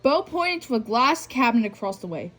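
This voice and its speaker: A woman's voice: fairly high pitch, big pitch swings, brisk, fairly bright tone. A woman reading a children's story aloud, now and then tripping over a phrase and reading it again. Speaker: storybook reader. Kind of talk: scripted read-aloud narration of a children's story. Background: music.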